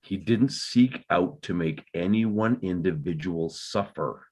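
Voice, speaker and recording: Man acting husky, defensive, guilt-ridden father. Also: low-pitched, a little slow, a little mellow. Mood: stubborn. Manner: insistent, defensive, terse. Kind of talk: dramatic script table read.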